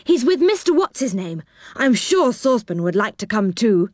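A woman's voice: on the high side, sing-song, moderate pace, darkish tone, no echo, studio quality. A woman voicing a cheerful, bubbly magical man living in a treehouse in a storybook. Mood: delighted. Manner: bubbly, delighted.

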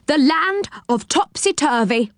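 A woman voicing a brisk, practical male character in a children's storybook, matter-of-fact and cautioning. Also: high-pitched, lively intonation, no room sound, studio quality, pitched above the performer's own voice.

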